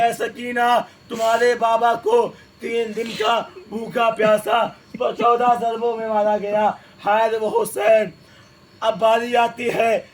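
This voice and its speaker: Male preacher with a very high voice, reciting a grief-laden mourning sermon straight to the gathering. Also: a little hiss or hum. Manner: emotional, mournful, addresses the gathering directly.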